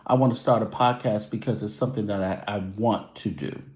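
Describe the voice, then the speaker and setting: A man's voice: fairly low pitch, fairly even intonation, fairly quick, darkish tone, roomy sound. A man hosting his own show, chatting loosely and casually with his viewers. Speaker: show host. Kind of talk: casual livestream chat.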